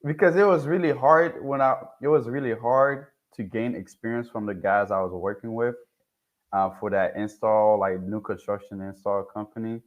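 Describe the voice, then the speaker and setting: A young man from Haiti with a lowish voice speaks softly and haltingly. He restarts his phrases as he tells his story as an interview guest. The sound is a bit dull and lo-fi. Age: young adult. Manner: soft-spoken, hesitant, restarts often. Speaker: guest, HVAC technician. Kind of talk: casual interview talk.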